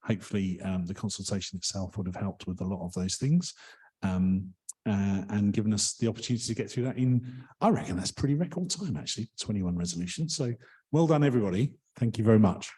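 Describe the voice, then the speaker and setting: Guy running a members meeting, kinda low and mellow, lots of ums. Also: lively intonation, quick pace. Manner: hesitant, lots of ums, polite. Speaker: meeting chair. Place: meeting hall.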